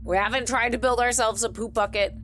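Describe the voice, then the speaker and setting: A woman giving casual let's-play commentary, expressive, joking and free with swearing. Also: a little high, fast talker, slight background noise. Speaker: game streamer. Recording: faint game music behind.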